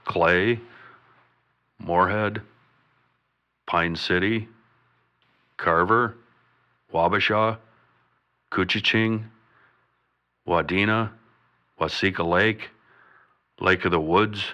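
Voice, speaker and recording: A bumbling governor in a radio comedy, very low and forceful in voice. He plods haltingly through an official announcement on a slightly dull broadcast recording. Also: exaggerated voice. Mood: halting officialdom. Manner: halting, official, list-like delivery. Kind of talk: comedic radio drama acting.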